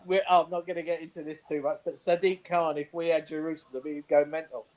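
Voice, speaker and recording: Male sports panellist, high-pitched voice, casual and jokey banter. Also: steady tone, fast, a little mellow, rough recording.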